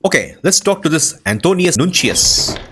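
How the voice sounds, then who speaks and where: Man narrating a game, very varied pitch. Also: big pitch swings, normal speed, fairly bright tone, slight background noise. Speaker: gaming video narrator. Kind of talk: scripted game playthrough narration. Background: music.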